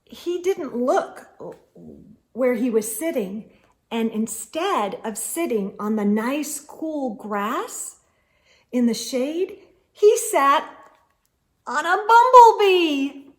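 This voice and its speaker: Unhurried, higher-pitched woman reading a children's story. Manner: expressive, emphatic, talks directly to young listeners. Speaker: storyteller host of a children's read-aloud channel. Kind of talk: children's storybook read-aloud.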